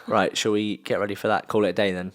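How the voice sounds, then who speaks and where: A man's voice: fairly low pitch, little pitch movement, fast. A young man with a southern English accent, co-hosting a casual sports podcast in a laid-back, understated way. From South of England. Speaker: podcast co-host.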